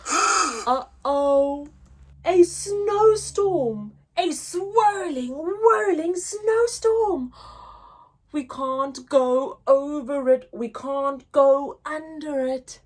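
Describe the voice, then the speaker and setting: A woman reading a picture book aloud to young children in an expressive, sing-song chanting way, drawing out words for drama. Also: high voice, drawn-out words.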